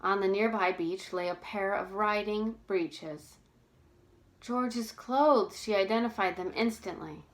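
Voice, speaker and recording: A woman reading a storybook aloud as narrator, with expressive, careful, dramatic delivery. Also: medium pitch, slow.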